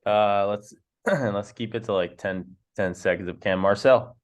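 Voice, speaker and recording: A man's voice: fairly low pitch, unhurried. A man hosting a casual group call, introducing guests and asking questions in a laid-back way. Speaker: host.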